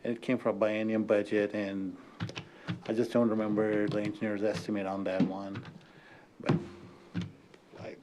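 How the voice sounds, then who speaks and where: Noisy mic with clicks, staffer guy, lowish voice, answering kinda haltingly. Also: flat delivery. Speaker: city staff member. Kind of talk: hesitant spoken answer in a meeting. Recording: noisy meeting room microphone with clicks.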